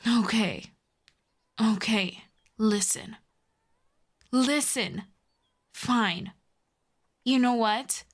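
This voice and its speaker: A woman playing a proud telepathic villainess with a soft spot, in an intimate ASMR roleplay, haughty and teasing. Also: fairly high pitch. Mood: grudging. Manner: haughty, teasing, slow deliberate delivery with soft whispers. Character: proud telepathic villainess, secretly fond of a hero.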